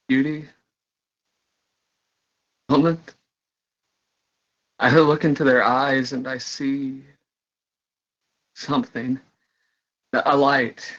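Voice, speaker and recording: An actor playing a brooding, yearning artist in a dramatic stage monologue, halting and searching, repeating words for emphasis. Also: male voice, mid-range voice, a bit bright. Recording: dull lo-fi conference call audio.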